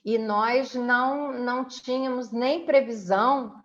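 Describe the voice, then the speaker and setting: Brazilian lady interviewer chatting, really slow and deliberate, lofi muffled recording. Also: on the high side. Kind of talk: conversational interview, recounting.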